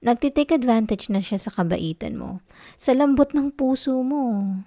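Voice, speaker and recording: A woman playing a needy, affectionate wife in an intimate ASMR roleplay, with a Filipino accent and a soft, coaxing, pouty delivery. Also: a little high, expressive, normal speed. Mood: scolding, concerned. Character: needy, affectionate wife, sulky yet tender and teasing.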